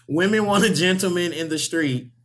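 A man of thirty hosting a casual group conversation, joking and laughing often. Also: sits high. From Louisville, Kentucky. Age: 30s. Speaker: host.

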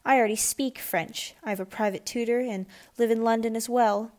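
A woman's voice: on the high side, fairly even intonation, a little fast, darkish tone, lightly acted. A woman voicing a snobbish, spiteful eleven-year-old girl in a children's audiobook, with a haughty, sneering manner. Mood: boastful. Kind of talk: children's audiobook character dialogue.

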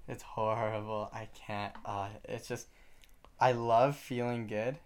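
A teenage man chatting casually as a vlogger, excitable and rambling, swearing for emphasis. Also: lowish voice, slow. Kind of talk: casual chat.

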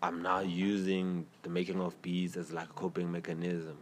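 A young man with a South African accent and a very deep, low voice talks loosely and casually as a podcast host, trailing off and circling back as he goes. A faint hiss of noise sits under the recording. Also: normal speed. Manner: relaxed, rambling, slang-heavy. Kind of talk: casual interview chat.

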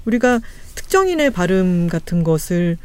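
A woman podcast host in casual chat, explaining her views carefully and thoughtfully, pausing often with fillers. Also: on the low side, sing-song, a little hiss or hum.

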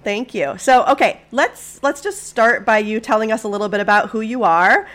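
A woman hosting a podcast, chatty and upbeat, clearing her throat and sniffling a little after an illness. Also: fairly high pitch, moderate pace, fairly bright tone. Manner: upbeat, chatty, self-deprecating. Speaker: podcast host. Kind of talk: podcast interview and intro.

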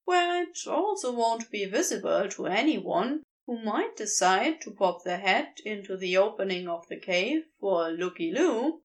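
Slow, lilting woman reading a story. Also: mid-range voice. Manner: unhurried, expressive storytelling. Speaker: podfic reader. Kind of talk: scripted narration of fan fiction.

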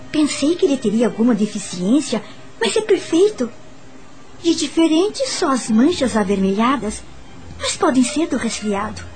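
A kind young Brazilian teacher in a radio drama. Her voice is high, expressive and musing, full of gentle curiosity. Music plays behind her. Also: rough recording. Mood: puzzled, pitying. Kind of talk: radio drama acting and first-person narration.

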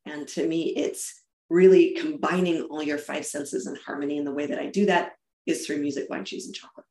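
A woman from Germany answers interview questions about her work with eager, explanatory energy and many small restarts. Her voice sits on the low side. The room around her rings with echo. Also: fairly even intonation, a little fast, dark tone. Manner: enthusiastic, explanatory, with frequent restarts and fillers. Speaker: guest, event business founder.

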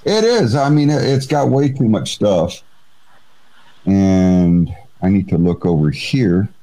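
Rambling, chatty livestream host, lowish voice. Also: male voice, expressive, slow, dark tone. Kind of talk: casual livestream chat. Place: home setup with streaming gear.

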